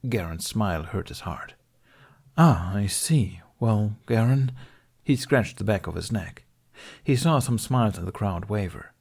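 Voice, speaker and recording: Male audiobook-style narrator, lowish pitch, expressive scripted fiction read. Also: moderate pace, vocal fry, slightly rough. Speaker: narrator reading fan fiction.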